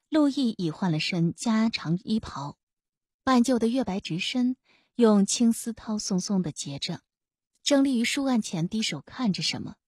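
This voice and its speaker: Husky woman narrating, lively and close. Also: medium pitch, no room sound. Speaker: audiobook narrator. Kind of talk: expressive scripted narration of a historical novel.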